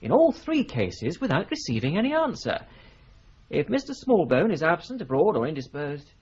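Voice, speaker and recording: A breezy, husky young partner. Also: male voice, a little high, huge pitch swings, moderate pace, a little mellow, exaggerated voice. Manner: breezy, offhand, slightly flustered. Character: breezy young firm partner, casual and a bit careless. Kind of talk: radio drama acting. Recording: dull lo-fi radio recording.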